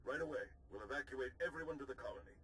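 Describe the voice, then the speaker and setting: A man's voice: medium pitch, very fast, fairly bright tone, slight background noise, natural voice, poor audio. An actor playing a polite, genial military general in a sci-fi video game, formal in his phrasing. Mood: reassuring, businesslike. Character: courteous, genial male Republic military general. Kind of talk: video game cutscene acting.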